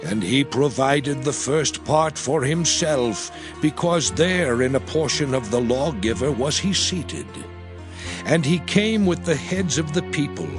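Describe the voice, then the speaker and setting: A man playing Moses, a solemn patriarch, in a declamatory, measured dramatized scripture reading. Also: medium pitch, expressive, music in the background, natural voice. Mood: solemn, exalted.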